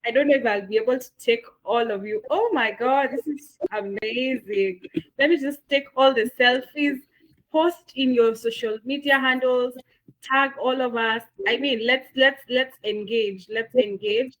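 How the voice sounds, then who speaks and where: A woman's voice: a little high, a little slow, a little hiss or hum, poor audio. A woman with a Kenyan accent moderating the close of an online masterclass, enthusiastic, with lots of fillers and repeated words. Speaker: session moderator. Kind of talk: closing remarks on an online masterclass.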